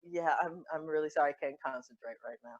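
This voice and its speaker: A woman playing a frazzled, sarcastic mother in an improvised comedy sketch, speaking in a halting, conversational way. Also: sits low, muffled recording. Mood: flustered.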